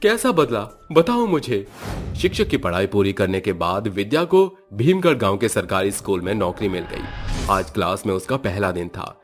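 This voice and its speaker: A man's voice: low voice, lively intonation, brisk, soft background music. A man narrating a scripted horror story in a dramatic storytelling style.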